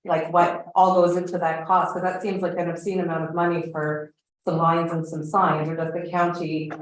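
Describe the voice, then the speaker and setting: A woman with a slightly low voice questions officials informally as a township councillor. The meeting room around her is heavily echoey. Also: level tone, normal speed. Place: meeting room heard over a video meeting.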